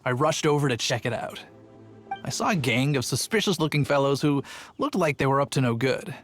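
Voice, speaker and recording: A man's voice: mid-range voice, a little fast, a bit bright, faint music behind, lightly acted. An actor playing a chatty, earnest young male town guard telling his tale in a video game. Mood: eager storytelling. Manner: storytelling, earnest.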